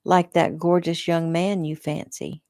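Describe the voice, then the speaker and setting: A woman's voice: lowish voice, level tone, a little slow, warm tone, no room sound, clean studio sound. A woman reading the part of a cruel, possessive middle-aged mother with a contemptuous, cutting manner. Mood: scornful.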